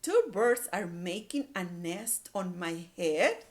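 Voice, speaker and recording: An anxious male storybook elephant, played by a woman, exclaims and questions in dismay. The voice swings widely in pitch and takes its time over the words. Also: animated, slow, darkish tone. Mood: upset.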